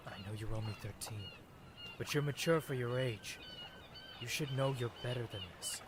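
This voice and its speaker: A man's voice: mid-range voice, huge pitch swings, normal speed, background noise. A man playing a protective, earnest vigilante mentor in an audio drama. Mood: urgent, sincere.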